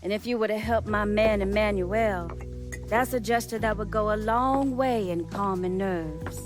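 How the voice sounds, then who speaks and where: Woman doing a crime queen for a game, smooth and threatening, careful delivery, normal pitch, clear music underneath. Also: unhurried, close to an everyday voice. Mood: measured, pointed. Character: proud, shrewd, menacing female gang leader. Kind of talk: video game cutscene dialogue.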